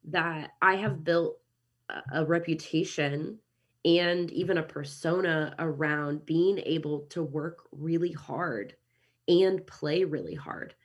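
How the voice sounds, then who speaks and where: Husky low-voiced American woman, upbeat. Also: takes it slowly, lo-fi sound. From Kansas City, USA. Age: 30s. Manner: upbeat, animated, chatty with many restarts. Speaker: podcast guest. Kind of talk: casual interview chat.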